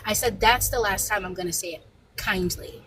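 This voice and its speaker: A woman panelist with a soft voice, speaking firmly and emphatically in a heated debate. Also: mid-range voice, moderate pace, background noise. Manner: firm, lecturing, emphatic.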